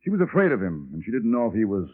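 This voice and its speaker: A sardonic boozing brother. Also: male voice, lowish voice, huge pitch swings, racing. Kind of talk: 1950s radio drama acting. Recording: tinny old radio broadcast.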